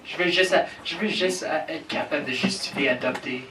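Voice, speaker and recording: Crude stand-up comic, echoey club. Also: male voice, a little high, moderate pace, pushed and bright. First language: English. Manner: crude, teasing banter with the crowd, swearing. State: nervous. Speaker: stand-up comedian. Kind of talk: stand-up set in a comedy club. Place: comedy club stage. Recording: echoey club from far off, noisy.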